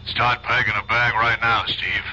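A man's voice: fairly low pitch, steady tone, a little slow, sharp tone, dull audio. A man with an American accent playing a stern, businesslike federal narcotics chief in a radio drama, clipped and commanding. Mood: grim, urgent. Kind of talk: 1970s radio drama acting.

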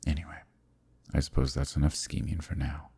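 A man playing an ancient, imprisoned eldritch villain in an ASMR roleplay, seductive and lonely, with a deep, breathy voice and an intimate, teasing manner. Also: little pitch movement, fairly quick, warm tone, exaggerated voice. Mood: sly, curious. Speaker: voice actor.

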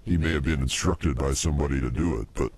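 A man co-hosting a comedy show in casual banter, his voice electronically pitch-shifted down. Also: fast talker, a little mellow. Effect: pitch-shifted voice, lowered.

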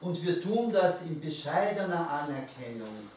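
A man reading the lines of a modest, learned king, in a dry, measured manner. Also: a little high, sing-song, darkish tone. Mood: wry. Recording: roomy reverb, distant mic, faint noise.

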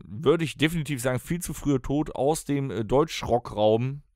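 A man's voice: mid-range voice, normal speed, darkish tone, no room sound. A man co-hosting a podcast in casual, blunt, colloquial talk, close to a studio microphone. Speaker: podcast co-host and band musician.

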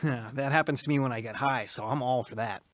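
A man's voice: medium pitch, fairly quick, no room sound, lightly acted. Man playing a searching, skeptical young man. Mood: curious. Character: searching, skeptical young man narrating his troubled past. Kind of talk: radio drama acting and narration.

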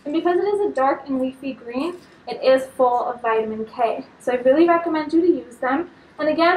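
A young woman giving an upbeat, instructive cooking demonstration in a large kitchen, talking as she works with food. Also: high-pitched. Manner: upbeat, instructive, talks while handling food. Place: large studio kitchen indoors. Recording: echoey kitchen, mic a bit distant.

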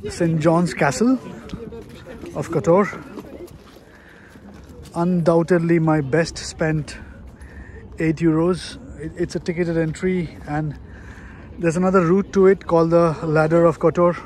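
A man's voice: a little high, steady tone, slow, pauses often, a little mellow. A middle-aged man from India, a solo travel vlogger talking chattily and with enthusiasm while outdoors on a hilltop fortress, filming on a handheld camera, with self-deprecating asides. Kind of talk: solo travel vlog narration.

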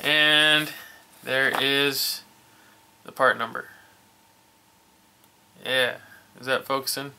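A middle-aged man with a bright, forceful voice talks casually and eagerly while unboxing RC parts. He keeps saying he is super stoked. The sound comes from a camera mic at a workshop bench, with faint package crinkling and clicks behind him. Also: medium pitch, fairly even intonation, drawn-out words, pauses often, slight background noise. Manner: enthusiastic, repeats "super stoked". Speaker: RC hobby vlogger. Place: indoor hobby workshop bench.

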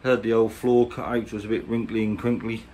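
A man's voice: lowish voice, little pitch movement, fast, a little mellow. A man with an English accent giving a chatty, colloquial hands-on tutorial in a casual, plain-spoken way. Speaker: boat restorer presenting a how-to. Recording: clear background noise, handheld workshop recording.

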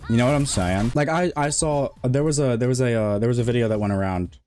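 A man in his twenties streaming a game, talking in a rambling, monotone way with many restarts. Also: medium pitch, fast, clean tone. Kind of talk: casual stream chat.

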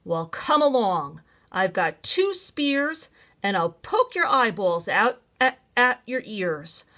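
A huge, fearless goat from a folk tale, acted out by a woman. He is loud and defiant, stressing each threat at an unhurried pace. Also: medium pitch, very animated, full character voice. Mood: bold, defiant. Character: huge, bold, fearless fighting male billy goat.

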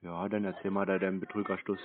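A man on a casual prank call, answering a caller in a terse, deadpan, mocking way. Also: low voice, quick pace. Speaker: prank-playing scambaiter. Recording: tinny narrowband phone call.

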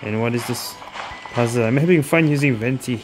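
A woman's voice: rumbling low, a little fast, noisy background. A woman gaming streamer giving casual commentary while she plays. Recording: game music behind the voice.

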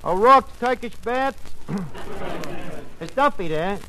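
High-pitched, breezy New wisecracker. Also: male voice, animated, extremely slow, bright, forceful tone, heavily acted. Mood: busy, fretting. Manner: slangy, ungrammatical working-class speech. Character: breezy, wisecracking tavern manager mangling his English. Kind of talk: 1940s radio comedy acting. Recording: noisy old radio broadcast recording.

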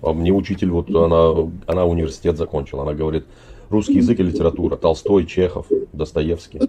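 Middle-aged guy, super deep dark voice, webcam chat, acting all innocent. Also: fairly even intonation. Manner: feigned naive, drawn-out questioning. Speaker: video chat participant. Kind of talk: casual video chat. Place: indoor room.

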